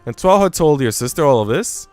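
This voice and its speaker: Guy doing a flustered young maid in a visual novel, stammery and earnest, clear dry sound. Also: fairly high pitch, lively intonation, fairly quick, darkish tone, dry recording, soft background music, voice pushed up, close to an everyday voice. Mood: doubtful. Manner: hesitant, stammering, questioning.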